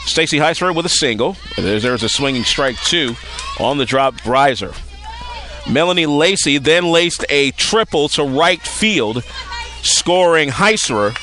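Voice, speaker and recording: Bright, high-pitched male sports announcer. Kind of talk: live softball play-by-play commentary. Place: outdoors at a softball field. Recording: outdoor ballfield broadcast.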